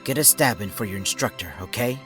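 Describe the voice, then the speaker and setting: A man's voice: on the low side, brisk, over music, lightly acted. A man playing a teasing, caring combat instructor in an amateur audio drama. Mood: encouraging.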